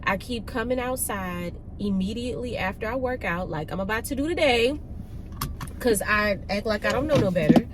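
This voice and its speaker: A woman's voice: mid-range voice, lively intonation, moderate pace. A woman with a nasal, stuffed-up voice from a cold, chatting informally and slangily to viewers as a vlog host. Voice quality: nasal, congested. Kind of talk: casual chat to viewers. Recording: noisy car ambience.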